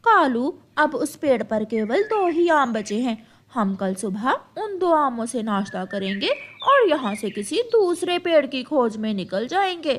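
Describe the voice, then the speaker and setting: A woman's voice: high voice, very animated, measured pace, few pauses, full character voice. A woman voicing a sly, lazy female crow who wheedles and fakes apologies in a cartoon. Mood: smug, scheming.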